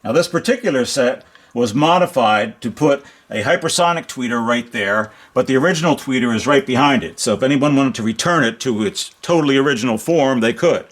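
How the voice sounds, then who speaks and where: A man's voice: mid-range voice, a little fast. Man casually explaining vintage equipment. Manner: explanatory, technical. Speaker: antique radio collector demonstrating his sets. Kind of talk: casual unscripted demonstration. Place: inside a home.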